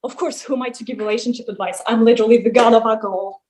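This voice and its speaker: A woman's voice: on the high side, steady tone, rapid-fire, darkish tone, natural voice. A woman playing a jaded, sarcastic male wine god who runs a summer camp, in a weary, theatrical table read. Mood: sardonic. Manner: sardonic, weary, theatrical complaining.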